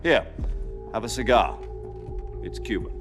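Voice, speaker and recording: An actor playing a veteran commander briefing a soldier, dry and matter-of-fact. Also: male voice, on the low side, lively intonation, unhurried, music in the background. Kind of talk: video game cutscene acting. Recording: game audio with score behind.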